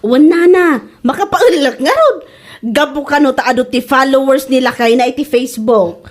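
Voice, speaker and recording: Excitable high-pitched Ilocana neighbour, woman acting. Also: normal speed. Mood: gossipy complaint. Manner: exclaiming, chatty. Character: excitable gossiping neighbour woman, chatty and credulous. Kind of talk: Ilocano comedy drama acting.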